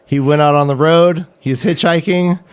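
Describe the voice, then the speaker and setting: A man's voice: a little high, fairly even intonation, moderate pace, smooth. Jokey man hosting casual sports chat. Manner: jokey, playful. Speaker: host of a fantasy football livestream.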